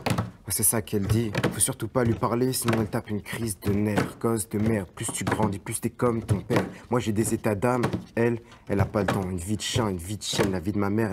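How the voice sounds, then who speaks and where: A man's voice: fairly low pitch, heavy background noise, lightly acted. An actor playing a young man born in France, performing a slam poem with punchy rhythm and street slang. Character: angry, restless young man full of pent-up rage. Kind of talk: performed slam poetry, film drama.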